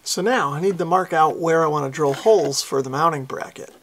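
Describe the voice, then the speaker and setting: A man's voice: fairly high pitch, fairly quick. A middle-aged American man hosting a hobby how-to, talking in an easygoing, self-deprecating, casual way. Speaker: host of a radio hobby channel.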